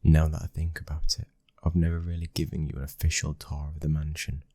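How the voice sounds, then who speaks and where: A British man playing a loving, dominant young mafia heir in an intimate ASMR boyfriend roleplay, speaking close to the mic in a breathy, husky voice. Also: a bass voice, little pitch movement, fairly quick, mellow, dry recording. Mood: relaxed, affectionate. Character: smooth-talking, loving, dominant young mafia boss's son. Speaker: audio roleplay voice actor. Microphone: close microphone.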